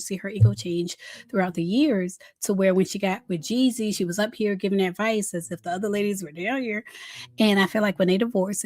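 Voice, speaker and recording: A woman hosting a casual celebrity gossip commentary, chatty and candid, with drawn-out emphasis and a slightly raspy voice worn from a recent illness. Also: on the high side. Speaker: host of a celebrity gossip channel.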